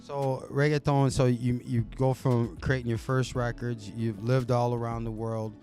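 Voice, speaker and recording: A man's voice: medium pitch, flat delivery, normal speed, darkish tone, soft background music. A man hosting a casual studio interview, upbeat and slangy like a hype man. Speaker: host.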